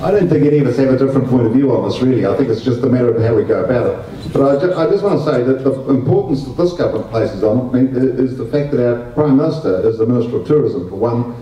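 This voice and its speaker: A man's voice: mid-range voice, lively intonation, fast talker, mellow. A man, a political candidate answering hesitantly with restarts. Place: community centre hall. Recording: dull echoey hall, distant mic.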